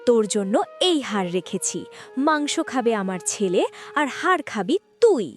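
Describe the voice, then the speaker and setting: A woman voicing a cruel, scolding village stepmother in a cartoon story, with a spiteful, sharp-tongued manner. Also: fairly high pitch, big pitch swings, moderate pace, soft background music.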